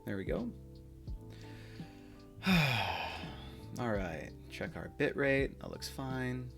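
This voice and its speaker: A man's voice: medium pitch, lively intonation, takes it slowly, creaky, soft background music. Laid-back young man streaming. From United States. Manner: laid-back, chatty, laughs often, switches into Turkish. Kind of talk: casual chat with chat readers.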